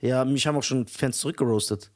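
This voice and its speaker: A man's voice: medium pitch, fast talker. A young man co-hosting a podcast, teasing his partner in casual banter and laughing often. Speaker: podcast co-host.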